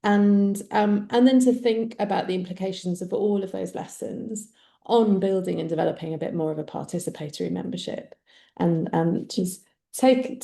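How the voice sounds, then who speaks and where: A woman's voice: on the low side, gravelly, warm tone, reverberant. A woman hosting an online event, introducing the guest speaker in a friendly, hesitant way.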